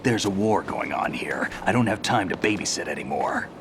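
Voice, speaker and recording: Hardened soldier, deep voice, gruff, terse, impatient, confident, game cutscene, noisy surroundings. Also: male voice, lively intonation, normal speed. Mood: brusque, impatient. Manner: terse, brusque, commanding. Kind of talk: video game cutscene acting.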